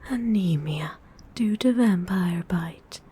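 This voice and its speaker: A woman's voice: lowish voice, slow pace, mellow, breathy edge, exaggerated voice. A woman playing a seductive predatory vampire in a whispered ASMR roleplay, speaking formally and breathily with teasing menace. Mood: coolly taunting. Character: seductive female vampire predator stalking and feeding on prey.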